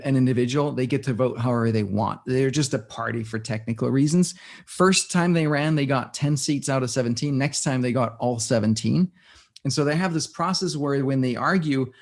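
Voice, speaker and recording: A man's voice: medium pitch, a little mellow. Man thinking aloud. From Denmark. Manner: thinks aloud, with frequent restarts and searching for words. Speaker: guest. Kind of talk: casual conversational interview.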